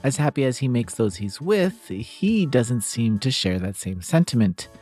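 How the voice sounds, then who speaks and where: A man's voice: mid-range voice, sing-song, unhurried, darkish tone, soft background music. A man narrating a scripted game review in a casual manner, with wry asides.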